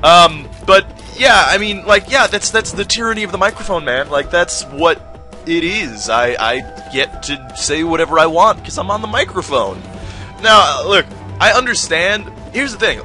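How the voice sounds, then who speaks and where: A man from the United States rambling defensively while commentating a video game, with lots of filler words. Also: a little high. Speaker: gaming commentator. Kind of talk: let's-play commentary.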